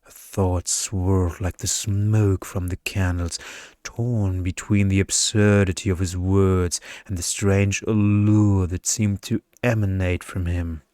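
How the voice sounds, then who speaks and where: Male ASMR narrator, low smooth voice, unhurried, hushed seductive delivery, dry close recording. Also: little pitch movement, dry recording. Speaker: story narrator. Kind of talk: ASMR bedtime story narration, scripted.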